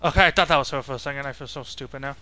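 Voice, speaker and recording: A man's voice: medium pitch, rapid-fire, pushed and bright. A man giving casual live gameplay commentary as a streamer, rambling and thinking aloud in a self-critical way. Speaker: game streamer.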